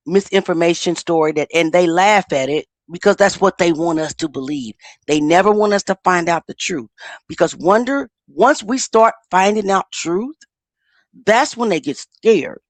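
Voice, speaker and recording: A woman's voice: on the low side, measured pace, no echo. A woman host with a speech impediment giving casual commentary, stuttering and repeating words in a heated, insistent way. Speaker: channel host.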